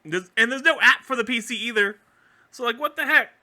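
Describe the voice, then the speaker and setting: A man co-hosting a podcast in casual, joking chat, animated and often repeating himself as he goes. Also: extremely high pitch, a little fast. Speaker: podcast co-host.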